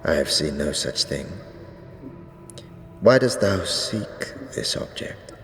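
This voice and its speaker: An actor voicing an ancient, regal skeleton priest in a fantasy audio drama, stern and ominous, with solemn archaic diction. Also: male voice, extremely low pitch, animated, slow, soft background music, exaggerated voice. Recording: cavernous reverberant hall, background noise.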